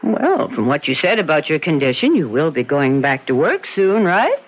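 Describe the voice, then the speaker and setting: An actress playing a brisk, courteous law office secretary in an old-time radio drama. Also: female voice, low-pitched, wildly swooping pitch, exaggerated voice. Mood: wry. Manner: crisp, courteous, professional. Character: brisk, polite female law office secretary, protective of boss. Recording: dull lo-fi old radio recording.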